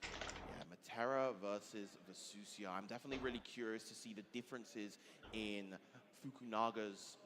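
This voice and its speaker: A man giving measured, analytical and agreeable live commentary at a fighting game tournament. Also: on the low side, steady tone, heavy background noise. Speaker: esports commentator. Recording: music behind the commentary.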